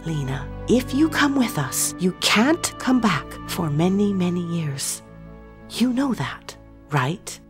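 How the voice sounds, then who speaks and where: A woman's voice: on the low side, very animated, takes it slowly, faint music behind. A woman acting a tender, sorrowful motherly figure in an audio drama, speaking breathily and with emotion. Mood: gently warning.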